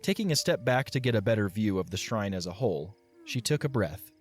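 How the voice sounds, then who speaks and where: A man narrating an audio drama in a measured, expressive storybook style. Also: on the low side, slightly rough, soft background music.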